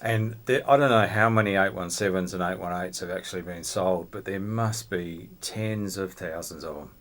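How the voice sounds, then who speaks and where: A man's voice: sits low, moderate pace, a bit bright. A man in a casual, conversational technical discussion among fellow hobbyists at a club. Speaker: club member discussing radio gear.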